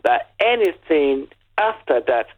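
A man's voice: fairly high pitch, very animated. Nigerian man on a tinny phone line. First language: Yoruba. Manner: storytelling, chatty with halting restarts. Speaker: caller. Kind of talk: casual radio phone-in chat.

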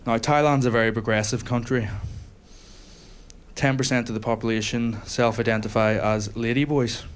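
A young man telling a stand-up comedy story to a live audience, with self-deprecating, deadpan asides. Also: mid-range voice, moderate pace, slight background noise.

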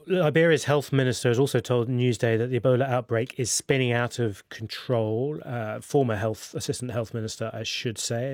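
Brisk male radio news presenter. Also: mid-range voice, darkish tone, no echo, studio quality. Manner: brisk, conversational, backchannels "mm".

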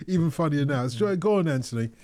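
A man hosting a radio phone-in, teasing his co-hosts and callers in jokey, quick back-and-forth banter. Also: on the high side, animated, darkish tone. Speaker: radio show host.

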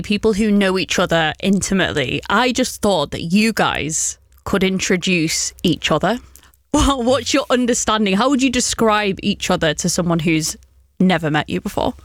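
A woman's voice: medium pitch, dry recording, studio quality. A woman hosting and interviewing guests in a conversational style. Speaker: podcast or radio host.

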